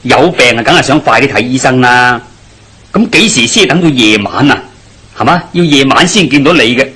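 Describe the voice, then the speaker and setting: A man's voice: medium pitch, animated, normal speed, sharp tone, slightly rough. An actor playing a good-natured, nosy village man who jokes around in a Hong Kong Cantonese radio drama. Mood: inquisitive. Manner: chatty, inquisitive, colloquial.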